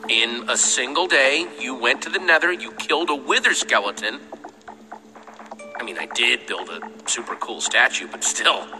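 An actor playing a young, earnest and protective adventurer in a video game, excitable in manner. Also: male voice, medium pitch, normal speed, natural voice, poor audio. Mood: impressed, eager. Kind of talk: animated video game voice acting. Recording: game music behind the voice.

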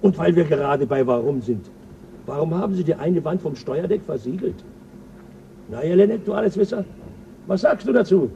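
An actor playing a crude, boastful male crewman on a spaceship, coarse and quick to flare up, in an old radio play. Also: on the high side, animated, gravelly, dark tone, recorded from a distance, highs cut off, a little hiss or hum. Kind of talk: 1970s radio play acting.

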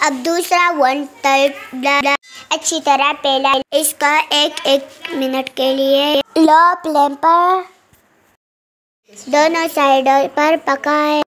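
A woman's voice: high-pitched, steady tone, a bit bright. A young girl hosting a home cooking video, giving step-by-step recipe instructions and stumbling over words now and then. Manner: halting, repeats words when stuck. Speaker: cooking show host.

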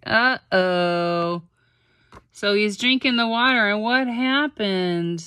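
A woman's voice: medium pitch, slow, pushed and bright, smooth, no room sound. A woman chatting casually as she helps a child through a picture book, encouraging and prompting with questions and repeats. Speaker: adult helping a child read a picture book. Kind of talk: casual read-along chat with a child. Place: carpeted room at home.

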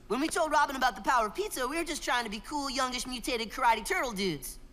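An actor playing a goofy, cocky young mutant turtle in a cartoon, talking in laid-back surfer slang. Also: male voice, extremely high pitch, fast, long reverb tail. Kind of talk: animated cartoon acting. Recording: loud music behind.

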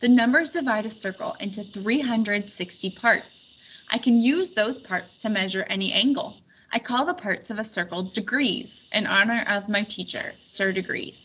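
A woman's voice: medium pitch, moderate pace, a bit bright, muffled recording, slight background noise, close to an everyday voice. A woman voicing an earnest, anxious young male squire in a storybook read-aloud. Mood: earnest explaining.

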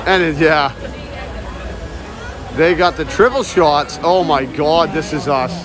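A man talking loudly and casually to viewers as he live-streams while walking around. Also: fairly high pitch, slow pace. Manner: loud, chatty, talks to viewers and chat. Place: outdoors at a busy shopping area, later indoors. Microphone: phone held while walking. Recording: phone audio with crowd noise. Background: crowd chatter.

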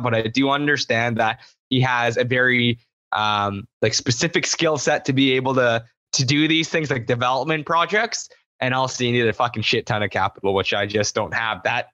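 A man's voice: medium pitch, no room sound, dull audio. A young man co-hosting a real estate podcast in casual chat, rambling with fillers on a close studio mic. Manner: relaxed, rambling with fillers and swearing. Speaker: podcast co-host. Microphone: new studio mic, close.

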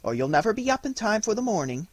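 Man doing a mother, high-pitched, calling, gently chiding, storybook reading. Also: sing-song, fast talker, dry recording. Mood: urging. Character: mother gently chiding her child at bedtime.

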